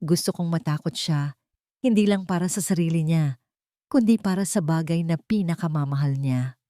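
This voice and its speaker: A woman's voice: deep voice, moderate pace, warm tone, no echo, studio quality, lightly acted. A woman voicing a cold, vengeful, scheming female villain with a commanding manner in an audio drama. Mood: cold, menacing. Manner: commanding, controlling.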